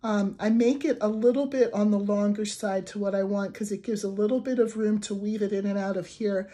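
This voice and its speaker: A young adult woman with a raspy voice from the flu, casually talking through a crochet tutorial at a table, chatty with fillers and restarts. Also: medium pitch, fairly even intonation, a little fast, dark tone. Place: indoors at a wooden table. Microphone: camera over a tabletop, hands only.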